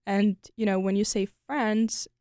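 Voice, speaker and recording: A woman's voice: medium pitch, steady tone, a little slow, a little mellow, clean tone, no echo, clean studio sound. A young woman from Ukraine with a Ukrainian accent, a podcast guest talking casually with lots of "you know" and "like". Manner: chatty, many fillers like "you know" and "like". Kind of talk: casual conversational interview.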